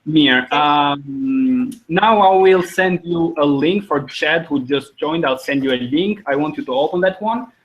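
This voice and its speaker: A man's voice: fairly high pitch, a little slow, few pauses, a bit bright. A young man from Albania with an Albanian accent, teaching an informal online language lesson as host. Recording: dull lo-fi video call audio.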